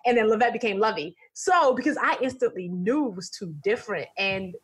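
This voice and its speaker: A woman's voice: medium pitch, fairly quick, a little hiss or hum. A woman podcast host, from Nigeria, chatting and laughing, acting out what she said as a child. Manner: chatty, laughing, mimics past self. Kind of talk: casual interview chat.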